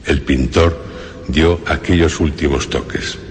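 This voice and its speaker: A man with an accent from Spain, narrating scripted horror radio as a host, dramatic and suspenseful, with deliberate long pauses. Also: a bass voice, fairly even intonation, slight background noise. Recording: music bed under the voice. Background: music.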